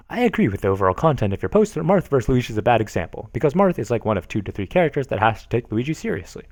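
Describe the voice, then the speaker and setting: Guy reading forum posts deadpan, gravelly voice, pitch jumps all over. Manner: reads punctuation and likes aloud flatly. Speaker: reader of online posts.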